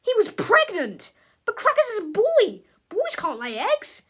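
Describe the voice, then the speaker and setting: Woman voicing an excitable, high-pitched young boy. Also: unhurried, full character voice. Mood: shocked. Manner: urgent, exclamatory. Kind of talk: children's storybook read-aloud.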